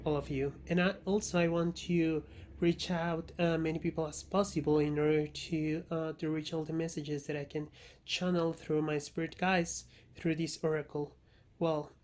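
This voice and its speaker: A man with a Spanish accent speaks as an oracle card reader addressing viewers. His delivery is flat in pitch and halting. He often searches for words, as Spanish is his first language. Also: slight background noise. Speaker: tarot and oracle reader, channel host. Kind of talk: informal spoken introduction to an oracle card reading.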